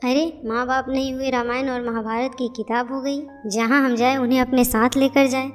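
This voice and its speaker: A woman's voice: high voice, flat delivery, moderate pace, close to an everyday voice. A woman voicing a bitter, sarcastic young daughter-in-law who scolds her in-laws in a cartoon family story, with music behind her. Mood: angry, resentful. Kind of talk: Hindi moral-story cartoon dialogue.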